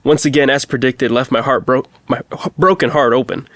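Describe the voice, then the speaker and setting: A man's voice: mid-range voice, steady tone, fairly quick, a bit bright, no room sound, lightly acted. A man playing an earnest, overdramatic actor giving a mock dramatic reading of heartbroken pop lyrics. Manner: theatrical, earnest.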